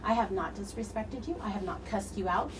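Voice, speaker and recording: A woman with a loud voice, firmly and insistently arguing with hospital staff, recorded on a phone. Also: medium pitch, fairly quick, a loud crowd. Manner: firm, insistent, argumentative. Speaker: patient's companion, filming a confrontation. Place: hospital unit and hallway. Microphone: handheld phone recording.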